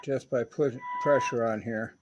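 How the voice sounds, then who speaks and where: A man's voice: mid-range voice, steady tone, moderate pace, dull audio, loud music behind the voice. A man giving an informal narrated repair demonstration in a conversational, matter-of-fact and opinionated way. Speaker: radio repair hobbyist, video host.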